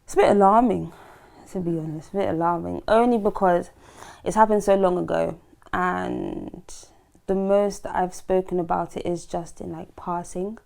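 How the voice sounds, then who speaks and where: A woman's voice: lowish voice, fairly even intonation, moderate pace, gravelly, a little mellow. A woman in her twenties, born in Trinidad and Tobago, chatting as a guest, joking loosely and laughing between words. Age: 20s. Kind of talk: casual chat.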